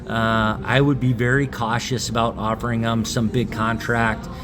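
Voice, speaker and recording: A man's voice: mid-range voice, flat delivery, normal speed, over music. A man speaking as a sports talk guest, giving his analysis in casual conversation.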